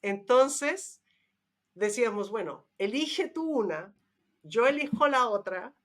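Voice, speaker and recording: A middle-aged woman in casual, nostalgic conversation as a podcast co-host, animated as she tells stories. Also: a little high, slow pace. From Chile. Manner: animated storytelling, laughs often.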